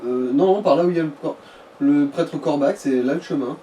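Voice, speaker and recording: Male co-commentator, soft dark tone, a bit high, hesitant slangy directions, off-mic with some noise. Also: brisk. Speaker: co-commentator guiding the player. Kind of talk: casual gameplay chat, giving directions. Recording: distant mic with background noise.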